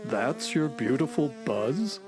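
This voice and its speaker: A woman's voice: low voice, takes it slowly, crowd noise, exaggerated voice. A woman playing a dry-witted, sensible talking space horse, the sidekick in a children's audio drama. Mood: wry. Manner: deadpan, wry.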